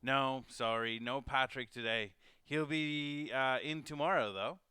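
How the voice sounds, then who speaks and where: Chatty host from Venezuela, bright voice. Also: male voice, mid-range voice, measured pace, clean tone, no echo. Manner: rambling, lots of fillers and restarts. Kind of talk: casual livestream talk. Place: home studio.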